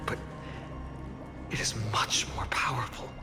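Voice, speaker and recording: A man's voice: a little slow, whispered, close to an everyday voice. A man voicing an earnest young warrior hero in a video game, solemn, formal and burdened with duty. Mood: serious. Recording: game music behind the voice.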